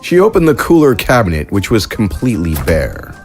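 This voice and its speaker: A man narrates a science fiction audio drama in a low voice. His telling is measured and even, a steady scripted read that carries the scenes. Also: faint music behind.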